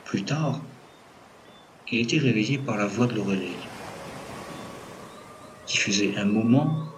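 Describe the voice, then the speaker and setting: A man with a lowish voice narrates an audio story. His delivery is scripted storytelling in the past tense. Faint background noise accompanies the voice. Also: a little slow.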